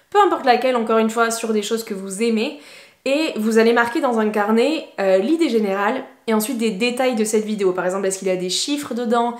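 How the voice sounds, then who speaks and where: A woman's voice: on the high side, echoey room. A woman English teacher, giving casual, encouraging tips to camera with plenty of fillers. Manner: chatty, encouraging, many fillers.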